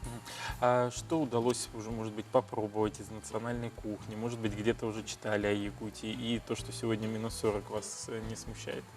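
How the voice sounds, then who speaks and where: A man's voice: fairly low pitch, level tone, music in the background. A man hosting a morning television show, formally welcoming guests and asking questions in a live studio interview. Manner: brisk, formal, fast-paced questioning. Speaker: morning TV host.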